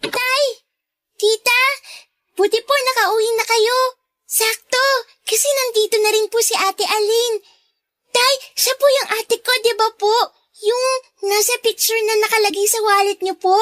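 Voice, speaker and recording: A woman's voice: very high voice, steady tone, measured pace, pauses often, fairly bright tone, no room sound, clean studio sound. A woman with a Filipino accent voicing a polite, eager, innocent little girl in a radio drama, with sweet manners. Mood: eager, happy.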